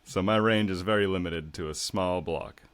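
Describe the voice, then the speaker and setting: Laid-back low-voiced male podcast host. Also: normal speed, smooth. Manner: laid-back, joking, with casual swearing. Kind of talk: casual podcast chat.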